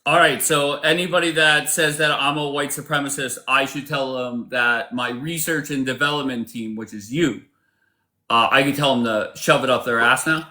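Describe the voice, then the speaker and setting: Blunt, bright-voiced male streamer. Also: mid-range voice, steady tone, moderate pace. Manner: blunt, profane, challenging. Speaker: livestream host. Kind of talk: casual live-stream debate chat.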